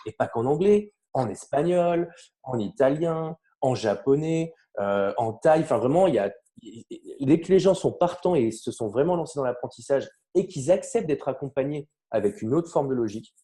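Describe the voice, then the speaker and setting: A man from France explaining his language learning method in a relaxed interview, animated and often trailing off mid-sentence. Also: medium pitch, normal speed. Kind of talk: casual conversational interview.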